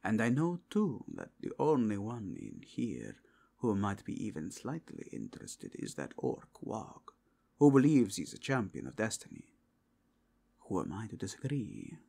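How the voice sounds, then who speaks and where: A man voicing a solemn, courteous, zealous werewolf inquisitor in a fantasy audio roleplay, speaking in formal, deliberate, archaic phrases with a husky, gravelly voice. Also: on the low side, wildly swooping pitch, warm tone, full character voice. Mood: measured, courteous. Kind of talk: fantasy ASMR roleplay acting.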